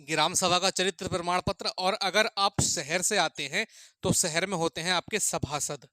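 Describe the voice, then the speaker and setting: Male coaching instructor, North Indian accent, high bright voice, explains step by step, repeats himself and checks in often. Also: dry recording. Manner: repetitive, with frequent check-in phrases for listeners. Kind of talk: explanatory online lecture addressed to students.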